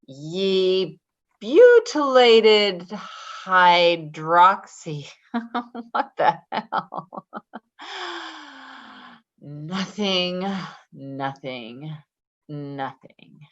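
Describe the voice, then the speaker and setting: Woman acting an evasive, stammering caregiver, animated swings. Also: on the low side, lo-fi sound, full character voice. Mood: giggly, muttering. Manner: halting, stammering, repeating words. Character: Patty, evasive manipulative caregiver woman, coaxing and bullying. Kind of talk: readers theater stage acting.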